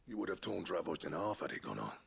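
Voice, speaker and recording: An actor playing a proud, weary exiled smith in a game, gruff and brooding, with a husky, gravelly voice. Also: male voice, deep voice, big pitch swings, fast, slight background noise, full character voice. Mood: bitter, regretful. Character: weary, proud male master smith, guilt-laden exile. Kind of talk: video game drama acting.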